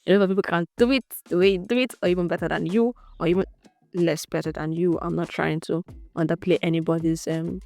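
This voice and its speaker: Chatty young Nigerian woman, lower voice. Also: steady tone, quick pace, creaky, dark tone. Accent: Nigerian (Igbo). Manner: chatty, thinking aloud, laughs often. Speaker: podcast host. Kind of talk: unscripted solo reflection.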